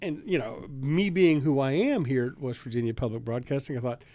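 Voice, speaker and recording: A man's voice: medium pitch, fairly quick, dark tone, no echo. A man talking casually in a studio conversation, as a writer and public broadcaster introducing a radio drama.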